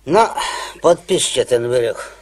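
An actor in a radio play playing a weary, matter-of-fact prison boss, patient and familiar while being official. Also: male voice, mid-range voice, lively intonation, slow pace, darkish tone. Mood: weary, businesslike.

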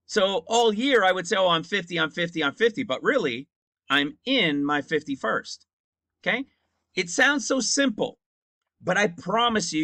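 Bright, emphatic man in his fifties. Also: a little high, no echo. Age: 50s. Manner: emphatic, repeats points and asks "right?". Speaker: Bible teacher. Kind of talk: screen-share Bible teaching.

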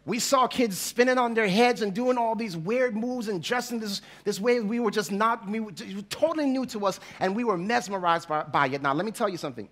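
Bright, high-voiced pastor telling a story. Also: male voice, a little fast, few pauses. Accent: slight Jamaican. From Jamaica. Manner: animated, acts out quoted voices, laughs as he tells. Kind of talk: storytelling sermon. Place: large church hall stage with audience. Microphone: headset mic.

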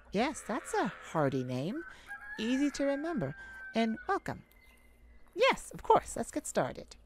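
A woman voicing a shy, gentle, easily flustered elf farmer in an ASMR roleplay, halting and polite with nervous little laughs. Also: medium pitch. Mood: warm, friendly. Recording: clear background noise, farm chicken ambience. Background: farm ambience with chickens and rooster.

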